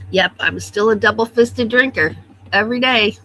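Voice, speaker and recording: A woman's voice: fairly high pitch, normal speed, bright, forceful tone, slight background noise, low-quality recording. A woman hosting a casual morning livestream from her computer at home, greeting viewers one by one and sounding a little stuffy. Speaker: livestream host. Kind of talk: casual morning chat reading viewer comments.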